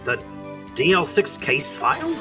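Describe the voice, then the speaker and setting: A man's voice: on the high side, wildly swooping pitch, over music. A man voicing a mild, ponderous, puzzled older judge in an amateur video game dub. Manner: measured, bemused.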